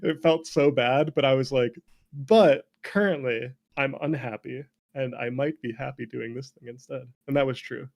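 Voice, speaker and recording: Creaky-voiced man chatting candidly. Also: medium pitch, normal speed. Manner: candid, animated, laughs easily. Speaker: game developer guest. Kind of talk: casual conversation.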